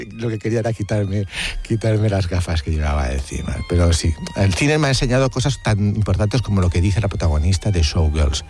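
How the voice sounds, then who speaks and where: Low-voiced man over music. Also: fairly quick, a little mellow. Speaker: radio film contributor. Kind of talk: conversational anecdotes about cinema. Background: background music.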